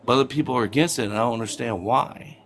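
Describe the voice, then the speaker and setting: A man in his forties calling in to a political stream, talking haltingly with many fillers. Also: mid-range voice, fairly bright tone, a little hiss or hum. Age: 40s. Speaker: caller. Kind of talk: political call-in discussion.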